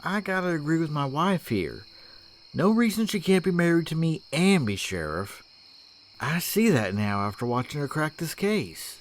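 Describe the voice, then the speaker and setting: An actor playing a devoted, eager young cowboy with folksy speech in a western audio drama. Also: male voice, a little high, sing-song, moderate pace. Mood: earnest. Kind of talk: western comic-book audio drama acting.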